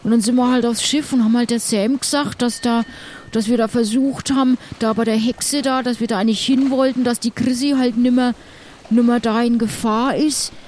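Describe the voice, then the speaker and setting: Chatty, plucky ship's crew member played by a woman, higher register, loose colloquial delivery. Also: fairly even intonation, normal speed, a little mellow, a little hiss or hum, lightly acted. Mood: puzzled. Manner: colloquial, rambling. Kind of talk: improvised tabletop roleplay.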